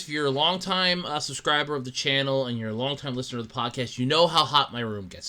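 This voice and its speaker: A man hosting his own podcast in casual solo chat, rambling with lots of restarts and a slightly raspy voice from allergies. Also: on the high side. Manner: rambling, animated, with many restarts and fillers. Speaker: podcast host. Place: his own room at home.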